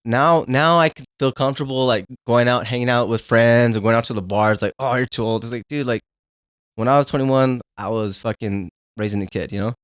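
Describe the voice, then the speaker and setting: A man's voice: medium pitch, steady tone, fairly quick, no echo, studio quality. A man in his thirties, a podcast guest, talking in a laid-back, candid way and swearing when riled. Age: 30s. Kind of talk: casual conversation.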